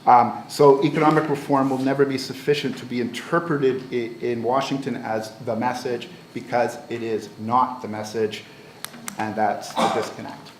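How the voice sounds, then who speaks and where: A man giving a measured, formal presentation on a conference panel, now and then repeating a word. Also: medium pitch, fairly even intonation. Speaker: panel speaker. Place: lecture auditorium. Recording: roomy hall with faint noise.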